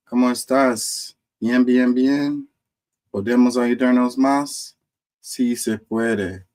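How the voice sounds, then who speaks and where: A man hosting, reading an earnest civic voice-over and chatting casually with his audience, saying "y'all". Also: mid-range voice. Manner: earnest and measured in narration, loud and chatty when live. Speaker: host. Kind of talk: scripted voice-over narration and casual live talk.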